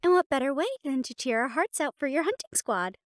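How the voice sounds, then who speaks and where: A woman performs a young catgirl cheerleader captain from an audio roleplay, with a high, girlish pitch. She is bossy and sassy, punching her words with sharp-tongued mean-girl confidence. The sound is dry, with no room around the voice. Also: lively intonation, a little fast, no echo. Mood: sassy, proud. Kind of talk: scripted audio roleplay acting.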